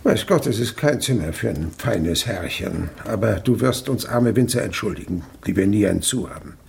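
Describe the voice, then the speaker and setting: An actor playing an old, miserly winegrower, wily and falsely humble, in a German radio drama. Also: male voice, on the low side, expressive, moderate pace, darkish tone, exaggerated voice. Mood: brusque, wheedling. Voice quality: gravelly.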